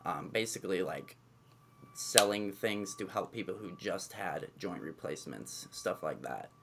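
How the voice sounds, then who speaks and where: A man in his mid-twenties talks through his background in a loose, rambling way, often leaning on filler words. His voice sits on the low side. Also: a little slow, creaky, heavy background noise. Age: 20s. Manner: relaxed, rambling, many fillers and "essentially". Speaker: guest, movement coach. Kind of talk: casual conversational interview.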